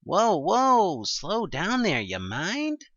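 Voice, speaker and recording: A man acting a gruff, world-weary male survivor with a salty, folksy, offhand way of talking in an amateur audio drama. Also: sits high, huge pitch swings, drawn-out words, fairly bright tone, no echo, dull audio, voice pushed up, exaggerated voice. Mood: startled.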